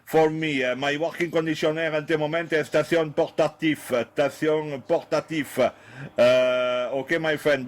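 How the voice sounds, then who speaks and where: French guy on cb radio, slightly high flat voice, broken halting english, repeats himself. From southern France. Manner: halting, apologetic non-native English with repetitions. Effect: radio transmission. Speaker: amateur CB radio operator. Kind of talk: radio contact exchange. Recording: CB radio contact audio.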